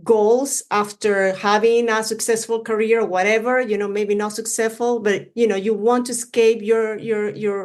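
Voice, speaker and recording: A woman's voice: a little high, flat delivery, moderate pace, hardly any pauses. A woman from Venezuela with a Spanish accent, speaking English in a casual interview, rambling with many fillers. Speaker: running influencer guest.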